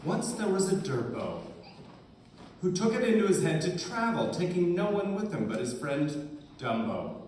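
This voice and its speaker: A man narrating a fairy tale live for a puppet show, reading from a script in a deliberate, expressive way. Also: high voice. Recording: very echoey room, distant, faint noise.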